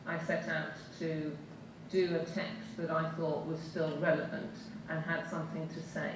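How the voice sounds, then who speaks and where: A woman's voice: low-pitched, little pitch movement, unhurried. A woman theatre director on a panel, speaking emphatically with firm opinions. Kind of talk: panel discussion. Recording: echoey hall from far off, noisy.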